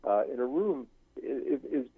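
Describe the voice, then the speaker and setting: An older man giving an unscripted interview as a guest professor, halting and searching, often circling back over his phrases. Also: mid-range voice, big pitch swings, breathy edge, dry recording. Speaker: guest, screenwriting professor and writer. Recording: thin phone-like line.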